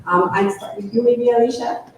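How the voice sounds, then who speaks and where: A woman hosts a live panel, warm in manner and dotting her words with ums. She sounds far from the microphone in a very echoey event room, with a faint hiss underneath. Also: fairly high pitch, fairly even intonation, moderate pace. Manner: friendly, with frequent ums. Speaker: panel moderator. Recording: echoey room, distant mic, faint noise.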